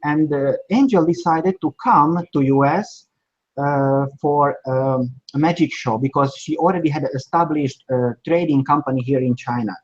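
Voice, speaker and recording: Male guest, 40s, halting English, dull lo-fi line. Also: on the high side. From Slovakia. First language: Hungarian. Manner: halting, plain English with small grammar slips. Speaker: guest, sourcing expert.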